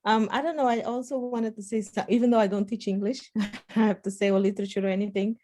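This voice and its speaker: A woman who grew up in Portugal and Spain, Portuguese her first tongue, talking on a video call. Her voice is mellow and warm, with a pitch in the middle range. She laughs through her story in an animated way. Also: fast. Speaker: political science professor. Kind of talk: workshop discussion over video call.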